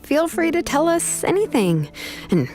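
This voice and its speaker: A woman's voice: high-pitched, sing-song, a little slow, darkish tone. A woman playing an easygoing, considerate young woman in a video game, casual and reassuring. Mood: friendly persuasion. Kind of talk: English video game voice acting. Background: faint music.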